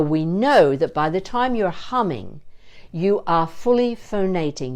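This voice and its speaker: A woman's voice: sits low, a little mellow. An older woman giving informal instruction as a voice coach, talking in a chatty, digressive, playful way. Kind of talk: informal instructional talk with demonstrated vocal exercises.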